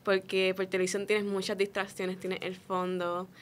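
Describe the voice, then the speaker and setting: A woman's voice: mid-range voice, monotone, moderate pace. A young woman giving straightforward, explanatory answers as a guest in a conversational interview. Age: young adult. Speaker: interview guest, radio production student.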